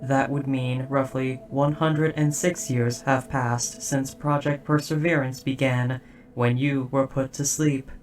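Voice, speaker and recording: A performer voicing a dutiful robot soldier in an audio drama, speaking in a formal, precise, measured and softly hesitant way. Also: mid-range voice, fairly even intonation, distant mic, natural voice. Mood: calm, formal. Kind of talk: scripted roleplay audio drama.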